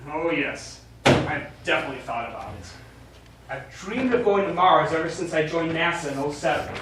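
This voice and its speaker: A man plays a dreamy, joking American astronaut on stage. His voice is fairly high and varied, with emphatic delivery. It is heard from a distance in a very echoey room. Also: animated, moderate pace, a bit bright, a little hiss or hum. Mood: wistful, excited. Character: eager American male astronaut, dreamy, joking mission leader. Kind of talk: stage play dialogue. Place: small studio theatre, recorded from afar.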